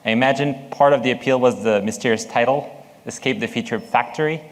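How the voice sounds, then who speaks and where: A man's voice: mid-range voice, a little fast, big-room sound, low-quality recording. A man with a French accent giving a conference talk, hesitant with many fillers, asking the audience questions. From France. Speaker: conference speaker, product manager.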